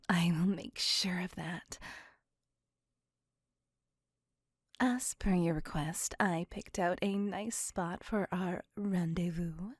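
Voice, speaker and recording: Slow breathy whispering vampire lady, acted by a woman. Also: mid-range voice, dry recording, studio quality. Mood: tender, charmed. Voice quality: breathy, hushed near-whisper. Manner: formal, gentle, old-fashioned courtly phrasing. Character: courteous, doting, possessive female vampire on a date. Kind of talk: whispered ASMR roleplay.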